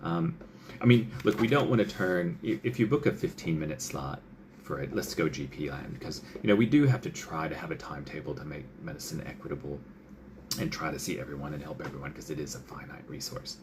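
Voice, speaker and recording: A man's voice: very deep voice, a little fast, noisy background. A male doctor giving casual advice, chatty, with many fillers, who often acts out what patients and doctors might say.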